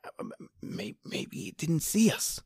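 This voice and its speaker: A woman's voice: sits low, big pitch swings, measured pace, gravelly, darkish tone, slightly rough, no echo, in a whisper, heavily acted. A woman playing a terrified stammering man. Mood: tense, hushed. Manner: stammering, panicky. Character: frightened injured man hiding in a tunnel. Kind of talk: old-time radio drama acting.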